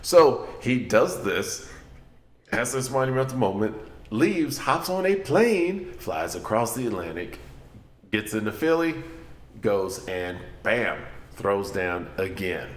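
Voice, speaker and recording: Lowish-voiced man, excited music chat. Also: slow. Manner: enthusiastic, playful, acts out quotes. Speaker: podcast co-host. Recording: echoey room.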